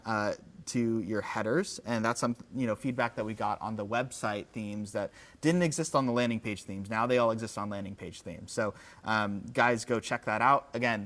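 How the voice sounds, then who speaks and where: A man giving a casual live software demo, with an enthusiastic, informal, conversational manner. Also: fairly low pitch, fairly even intonation, moderate pace, hardly any pauses. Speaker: company executive presenting a livestream.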